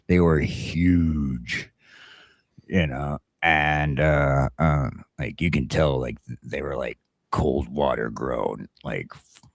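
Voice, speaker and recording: A middle-aged American man with an extremely low voice full of gravelly fry. He chats casually like a morning show host, blunt and folksy, swearing freely. The sound is dry and close. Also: takes it slowly, no room sound. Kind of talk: casual chat and storytelling.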